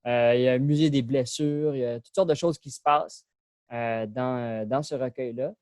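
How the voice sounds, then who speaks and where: A man's voice: medium pitch, steady tone, normal speed, darkish tone, dry recording, studio quality. A man presenting his poetry book, hesitant and full of fillers. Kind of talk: casual presentation.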